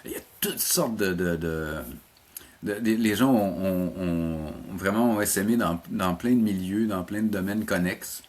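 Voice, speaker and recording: Middle-aged man, Quebec French accent, lowish voice, casual and hesitant, circling back on words in conversation. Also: measured pace, darkish tone. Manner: hesitant, repeats words as he searches for phrasing. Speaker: animation teacher and programme coordinator, interview guest.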